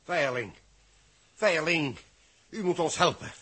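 Worried engineer and father,, high, sharp and husky, earnest pleading manner, vintage radio drama. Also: lively intonation, takes it slowly, heavily acted. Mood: urgent, pleading. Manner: pressing, earnest. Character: urgent male engineer, worried father of a crew member. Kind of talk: 1960s Dutch science fiction radio play acting.

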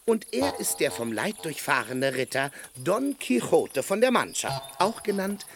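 A man in a German radio play acting a wily, excitable squire, exclamatory and mock-pompous. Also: fairly high pitch, unhurried. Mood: grandly flattering.

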